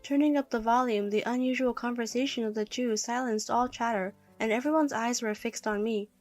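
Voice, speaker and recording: A woman's voice: a little high, steady tone, brisk, a little mellow, faint music behind. A woman reading a scripted first-person story as narrator.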